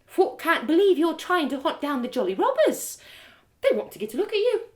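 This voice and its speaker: A woman's voice: extremely high pitch, very animated, brisk, voice pushed up. A woman voicing a motherly, gossipy innkeeper with folksy, effusive speech for an audio story. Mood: merry gossip. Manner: folksy, effusive, rustic turns of phrase. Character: gossipy motherly innkeeper woman, merry but quick to outrage.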